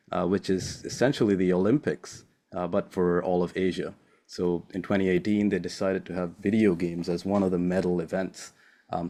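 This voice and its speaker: A young man from Sri Lanka, a postdoc, giving a friendly, casual seminar talk over a remote call, with many filler pauses. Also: sits low, mellow. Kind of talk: academic seminar presentation.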